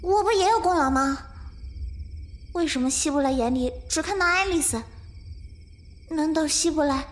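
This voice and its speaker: A woman's voice: high-pitched, normal speed, pauses often, natural voice. A woman voicing a frightened, whiny young survivor who pleads and panics in a zombie audio drama. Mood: pouting, teasing. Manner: pleading, girlish, prone to panic. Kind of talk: fan audio drama acting.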